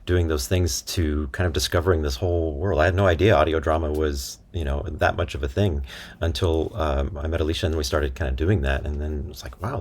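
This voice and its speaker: A man guest on an interview, a voice actor, answering casually with many pauses and restarts. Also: very deep voice, vocal fry, darkish tone. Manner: hesitant, many restarts and fillers. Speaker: guest, audio drama co-creator and voice actor.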